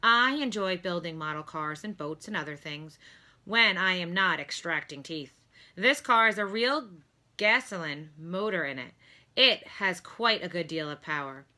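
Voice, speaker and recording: Woman playing a kindly dentist gentleman, storybook figure, brisk, instructive, forceful bright tone. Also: fairly low pitch, expressive, heavily acted. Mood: proud. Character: brisk, kindly male dentist who tinkers with models.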